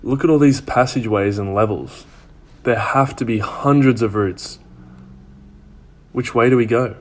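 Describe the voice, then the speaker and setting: A man playing a level-headed, sceptical police detective with a dry, measured delivery in a fan audio drama. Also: medium pitch, close to an everyday voice. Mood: concerned.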